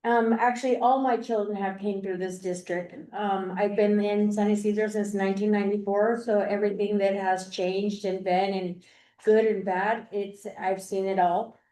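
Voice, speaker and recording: A woman's voice: mid-range voice, level tone, moderate pace, mellow. An older woman, a grandmother, giving an earnest, rambling public comment at a school board meeting, often repeating herself. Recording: echoey meeting room.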